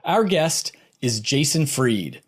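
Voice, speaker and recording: A man's voice: medium pitch, sing-song, takes it slowly, breathy edge. A middle-aged man hosting a podcast, presenting and interviewing in a conversational way. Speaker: podcast host interviewing a guest. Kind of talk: scripted intro and conversational interviewing.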